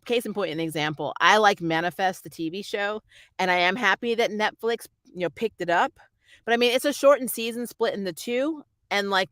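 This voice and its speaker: Bright-voiced reviewer, dry close recording. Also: medium pitch, no echo. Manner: rambling, conversational, slangy, mildly exasperated. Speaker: YouTube TV series reviewer. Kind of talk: casual opinionated review chat.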